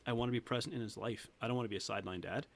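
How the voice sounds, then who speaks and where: Guest coach, man in his 30s, gravelly voice, earnest and chatty, laughs a lot. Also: medium pitch, fairly even intonation, very fast. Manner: earnest, laughs often, restarts sentences. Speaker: weight loss coach, guest. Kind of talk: casual interview talk.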